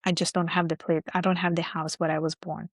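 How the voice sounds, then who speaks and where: Woman, Italian accent, lowish flat voice, dry close sound, reflective, lots of fillers. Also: fairly quick, dry recording. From Italy. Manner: reflective, many "like" and "you know" fillers. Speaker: guest. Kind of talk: casual interview chat.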